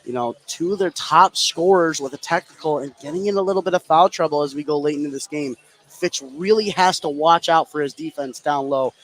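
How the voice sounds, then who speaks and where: A man's voice: fairly high pitch, brisk, fairly bright tone, slight background noise, rough recording. A man giving live analysis as the color commentator on a basketball broadcast. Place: indoor basketball gym, courtside.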